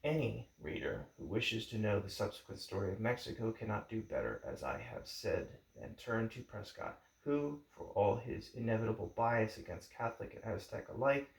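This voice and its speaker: A man reading a book introduction aloud in a deliberate, somewhat halting manner, as an amateur audiobook narrator. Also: fairly low pitch, expressive, creaky. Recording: roomy, echoing home recording.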